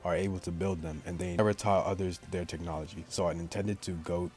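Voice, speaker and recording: A man with a very low voice reads a visual novel aloud as a gaming let's play. His commentary is slangy and joking, and game music plays behind him. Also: fairly even intonation, brisk, a little mellow. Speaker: gaming YouTuber.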